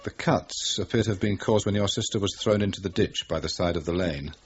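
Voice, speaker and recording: An actor playing a formal, unruffled detective chief inspector in a radio crime drama, matter-of-fact and official. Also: male voice, low voice, fairly quick, lightly acted. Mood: official, calm. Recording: dull lo-fi audio, faint noise.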